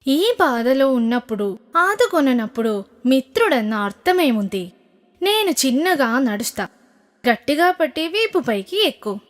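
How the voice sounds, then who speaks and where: A woman's voice: sits high, very animated, unhurried. A woman voicing a kind, trusting camel who speaks in a caring, earnest way in a children's cartoon. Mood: concerned, caring. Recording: music behind the voice.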